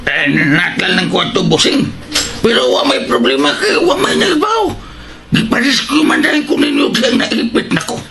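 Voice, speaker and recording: A man acting a jumpy, deferential henchman in a radio action drama, excitable and pleading. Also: high-pitched, normal speed, creaky, a crowd in the background. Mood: anxious, deferential. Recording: loud music behind the voice.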